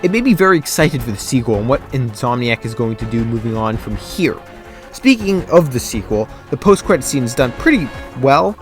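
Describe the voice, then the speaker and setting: A young man with a stuffy, congested nose narrating a scripted game review, enthusiastic and opinionated. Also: mid-range voice.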